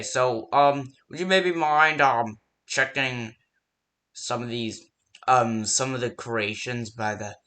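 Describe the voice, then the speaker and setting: Halting, bright-voiced nervous night-shift phone guy, acted by a man. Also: fairly low pitch. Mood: hesitant, friendly. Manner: hesitant, halting, stammering delivery. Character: male phone caller, nervous, hesitant night-shift coworker giving security tips. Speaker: voice actor in fan-made game. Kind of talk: amateur horror game phone-call voice lines.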